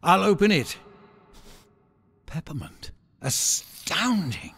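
A man's voice: sits high, sing-song, takes it slowly, gravelly, a bit bright, husky, heavily acted. An actor playing a scholarly, fussy older professor who dictates his marvelling observations in a sci-fi audio drama. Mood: awed, observing. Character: scholarly older male professor, fascinated, fussy space explorer.

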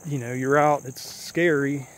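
A man's voice: medium pitch, steady tone, a little slow. A man vlogging as he walks an overgrown country road, a little tired, thinking aloud in a loose, rambling way. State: a little tired from a long walk. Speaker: vlogger exploring an abandoned road. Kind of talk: casual walking vlog. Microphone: handheld or body camera while walking. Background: faint insects and rural ambience.

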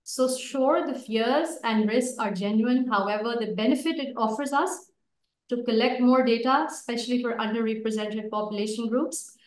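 A woman in her thirties, a native Urdu speaker, giving a prepared debate speech over a video call in a steady, list-like way. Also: fairly high pitch. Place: home room. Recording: echoey video call from far off.

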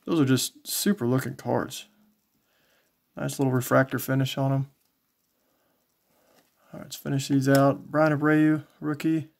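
Man, gravelly fry, casual unboxing commentary, folksy, low-key, thinking out loud. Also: flat delivery, a little slow, long pauses. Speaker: trading card collector and video host. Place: indoors, small home tabletop setup.

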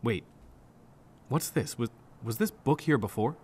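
An actor playing a cynical, driven district attorney in a noir radio drama, sardonic and impatient. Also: male voice, medium pitch, expressive, unhurried, darkish tone, breathy edge. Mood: wry.

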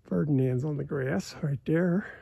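A folksy American man narrates farm chores in an open pasture. His voice is very dark and mellow, with a creaky, husky grain, and he talks casually into a handheld phone. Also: fairly high pitch, measured pace, no room sound. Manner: folksy, plain-spoken. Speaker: cattle farmer, vlogger. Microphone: handheld phone held by the speaker behind the camera.

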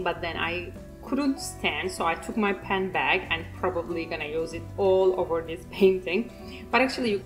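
A woman with a Turkish accent, a native Turkish speaker, giving a rambling, self-mocking voice-over while she paints, laughing often. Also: lowish voice. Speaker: art channel host.

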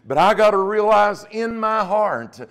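A man's voice: sits high, lively intonation, a little slow, fairly bright tone, smooth. A middle-aged man, preaching a Pentecostal sermon emphatically and punctuating it with amens. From United States. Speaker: pastor. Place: small church, at the pulpit indoors. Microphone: lapel mic.

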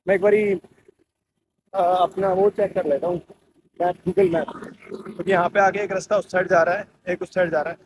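A man's voice: high voice, little pitch movement, normal speed, pauses often. A man chatting casually to camera as a fitness vlogger, on a handheld phone. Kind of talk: casual vlog chat to camera, Hindi mixed with English. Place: outdoors on busy streets, later inside a gym. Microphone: phone held at arm's length. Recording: noisy street and gym ambience, traffic.

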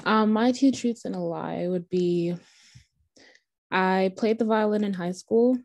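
A woman's voice: medium pitch, measured pace, darkish tone, no echo. A woman from Trinidad introducing herself casually on a video call. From born in Trinidad. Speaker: participant.

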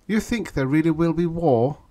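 A man's voice: on the high side, animated, normal speed, warm tone, pitched above the performer's own voice, lightly acted. A brash young man boasts in a raised, cocky voice, played by a male narrator. He sounds dismissive and sure of himself, a passenger in a read-aloud science fiction story.

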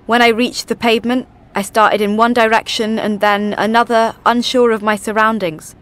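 A woman's voice: on the high side, level tone, normal speed, fairly bright tone, natural voice. A woman voicing a distressed, confused first-person storyteller in dramatic audiobook narration. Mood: anxious.

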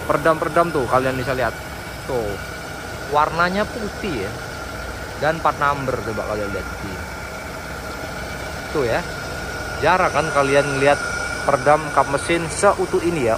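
Man, casual car pitch over noise. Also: animated, moderate pace, pauses often. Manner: informal, slangy, enthusiastic sales talk. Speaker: car seller presenting a used car. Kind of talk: casual walkaround review to camera. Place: outdoors by a car and inside it. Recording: clear outdoor and car background noise.

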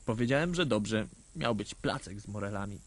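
A man's voice: on the low side, lively intonation, normal speed, slightly rough. A man playing a naive, earnest little boy who tells his own story in a radio play. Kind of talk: scripted first-person storytelling in a radio play.

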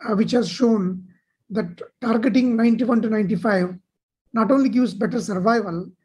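A woman delivering a measured medical conference keynote over slides on a video call. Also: on the high side, warm tone, husky, low-quality recording. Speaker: keynote speaker.

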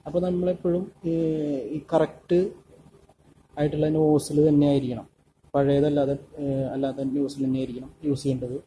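Malayali man, somewhat high but very dark and mellow voice, teaching welding in a shop with faint noise; halting, lots of fillers. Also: little pitch movement, rough recording. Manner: halting, many filler sounds and restarts. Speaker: welding instructor. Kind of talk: hands-on tutorial voice-over. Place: workshop at a welding table.

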